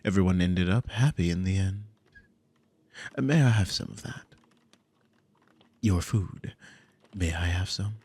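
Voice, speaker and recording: Man as a deep, gravelly, possessive demon. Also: darkish tone, no room sound, exaggerated voice. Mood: smug, commanding. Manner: commanding, condescending, theatrical drawl with lingering pauses. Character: dominant possessive male demon, smug and silkily menacing. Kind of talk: ASMR audio roleplay, intimate scripted monologue.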